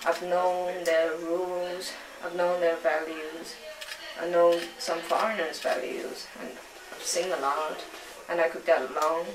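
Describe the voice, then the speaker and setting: A woman's voice: on the low side, level tone, unhurried, a crowd in the background. A young woman from China, speaking English as an in a reflective documentary interview, searching for her words. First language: Chinese. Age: young adult. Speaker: poet and singer. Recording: roomy, distant mic, faint noise.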